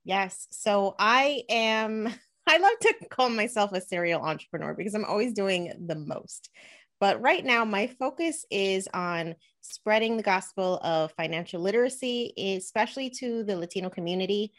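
A woman in her thirties from New Jersey talks as a guest on an interview podcast. She is energetic and talkative and speaks at a middle pitch. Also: normal speed. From New Jersey, USA. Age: 30s. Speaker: podcast guest, money coach. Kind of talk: casual interview talk.

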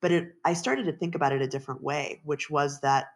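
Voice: medium pitch, fairly even intonation, fairly quick. An author and researcher answering interview questions in a thoughtful, explanatory way, with frequent restarts.

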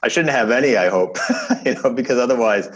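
A man from Chicago, a guest coach, chatting in a relaxed way with many restarts and fillers. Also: medium pitch, a little fast, highs cut off, background noise. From United States, raised in Chicago. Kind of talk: casual interview chat.

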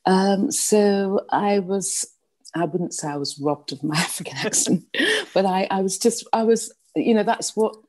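A woman in her sixties from Nigeria with a well-spoken London English accent, telling her life story in an interview with halting restarts. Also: medium pitch, darkish tone. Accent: English, London middle class. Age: 60s. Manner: rambling, halting storyteller with many restarts and fillers. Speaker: guest. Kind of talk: casual interview, personal storytelling.

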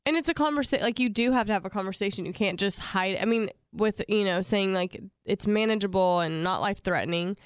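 A woman's voice: medium pitch, fairly quick, hardly any pauses, clean tone, dry recording, studio quality. Chatty sniffly young woman podcaster. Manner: chatty, rambling, lots of fillers. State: sniffly from allergies. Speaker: podcast co-host. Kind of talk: casual chat.

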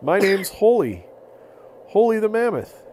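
Man voicing an eager, high-voiced young woolly mammoth. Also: very animated, a little slow, a little mellow, slight background noise, poor audio. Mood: cheerful. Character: young friendly woolly mammoth, excitable, full of wonder.

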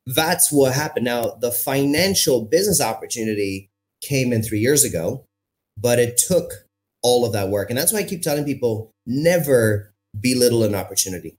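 A man in his thirties from India, a podcast guest telling his story in an animated way, with emphatic phrasing and pace that keeps shifting. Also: mid-range voice, moderate pace, faint music behind. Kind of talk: storytelling interview answer.

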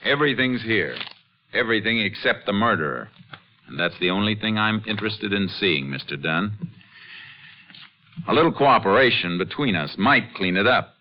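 An actor playing a steady, terse Texas Ranger investigator in a 1950s western radio drama. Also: male voice, lowish voice, moderate pace, close to an everyday voice. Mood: firm, calm. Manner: terse, understated. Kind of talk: 1950s western radio acting and first-person narration. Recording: dull old 1950s radio recording.

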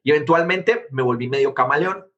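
A man from Guatemala in his thirties, an interview guest telling his story in a quick, animated conversational way. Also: medium pitch, dull audio. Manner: animated, quick, jumps between anecdotes. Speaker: interview guest, tech founder.

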